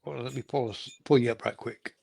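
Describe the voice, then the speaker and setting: A man's voice: medium pitch, steady tone, brisk, creaky, darkish tone, slight background noise. A man from North Carolina hosting a casual interview, rambling with frequent chuckles and restarts. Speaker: host.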